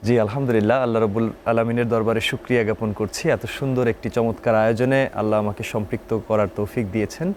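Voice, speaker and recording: A man's voice: fairly low pitch, fairly even intonation, very fast, hardly any pauses, a little mellow, a little hiss or hum. A man with a Bangladeshi accent speaking formally and courteously as a studio guest. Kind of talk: formal courteous interview talk.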